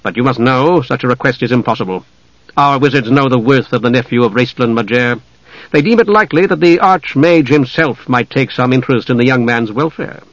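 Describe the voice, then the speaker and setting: A man voicing a proud, aloof dark knight with a cold, formal, controlled manner in a storybook reading. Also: medium pitch, fairly quick, lightly acted. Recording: roomy, dull audiobook sound.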